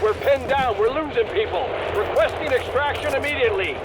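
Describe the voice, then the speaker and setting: High-strung shouting soldier. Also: male voice, a little fast. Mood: desperate. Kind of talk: video game military combat acting. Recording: loud music and gunfire behind. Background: loud music, gunfire, vehicle noise.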